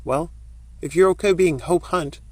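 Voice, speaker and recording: A woman's voice: fairly low pitch, moderate pace, breathy edge, no echo. A woman voicing a cautious, practical young man in an audiobook story. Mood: cautious, businesslike.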